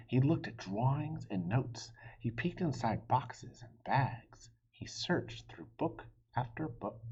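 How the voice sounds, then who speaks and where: A man narrates a children's storybook at an unhurried pace with very animated pitch. His voice is a little husky, and the recording sounds slightly dull. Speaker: storybook reader. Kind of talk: expressive read-aloud narration for children.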